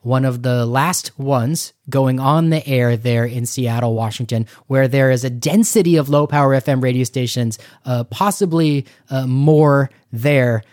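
A man co-hosting a podcast, chatting casually in an excitable, effusive way. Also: medium pitch, a little slow, hardly any pauses, dry recording, studio quality. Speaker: podcast co-host. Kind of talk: casual enthusiastic podcast chat.

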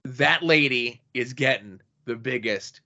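A man co-hosting a podcast in casual chat, with a big loud voice and a blunt, profane way of talking. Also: mid-range voice, a little slow. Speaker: podcast co-host. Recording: dull lo-fi podcast audio.

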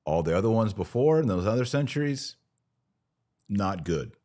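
A man's voice: on the low side, big pitch swings, moderate pace, dark tone. A man hosting a podcast, giving conversational religious commentary with emphatic, repeated phrasing. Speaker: podcast host.

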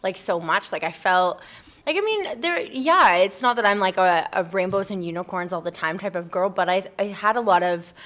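A woman's voice: mid-range voice, lively intonation, normal speed, fairly bright tone. A young woman interview guest telling personal stories casually, in an informal, rambling way with lots of "like". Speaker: interview guest, founder of a volunteer project.

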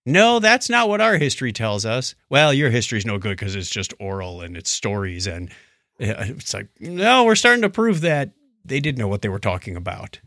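A man with a middle-pitched voice chats as a podcast co-host. He recounts things like a storyteller and slips into imagined dialogue. The sound is dry, with no room around it. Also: big pitch swings, normal speed, pushed and bright, no echo. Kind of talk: casual chat, recounting documentaries.

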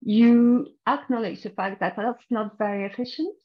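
A woman's voice: on the high side, normal speed. French-accented woman coach, muffled audio. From France. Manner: thoughtful, explanatory, with frequent restarts. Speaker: executive coach, guest. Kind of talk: podcast interview.

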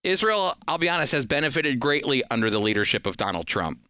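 A man's voice: medium pitch, very animated, quick pace, sharp tone, clean tone, dry recording, clean studio sound. An American man hosting a radio program, delivering prepared commentary in a talk show style.

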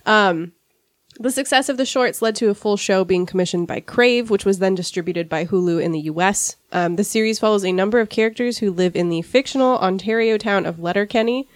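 A woman's voice: mid-range voice, fairly quick, dry recording. A woman from rural Washington hosting a casual podcast discussion, sniffly from a cold and chatty, with wry asides. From rural Washington, USA. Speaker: podcast host.

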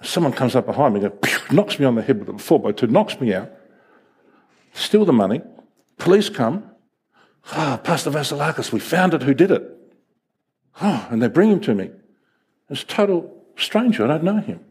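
Middle-aged pastor guy, greek first language, preaching with big swings up and down, bit husky, fairly high voice. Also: normal speed, long pauses. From Greek heritage, first language Greek. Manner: animated, storytelling with acted voices. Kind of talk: sermon.

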